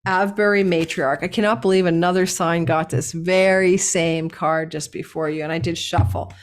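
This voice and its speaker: A hoarse woman host giving a casual, digressive horoscope reading, recorded indoors at a relative's house and laughing at herself now and then. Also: on the low side, fairly even intonation, normal speed. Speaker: astrologer host.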